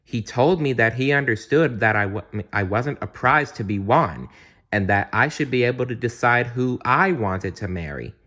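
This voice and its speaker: A man voicing a kind, playful young princess in a gentle, earnest storytelling manner for a bedtime storybook. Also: mid-range voice, lively intonation, normal speed, highs cut off, pitched above the performer's own voice. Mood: fond, earnest.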